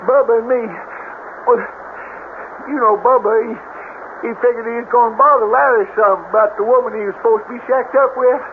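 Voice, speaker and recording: A male actor playing a shaken, excitable young backwoods man with a Southern US accent in a radio drama. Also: very high-pitched, fairly quick. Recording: tinny, faintly hissy old radio broadcast.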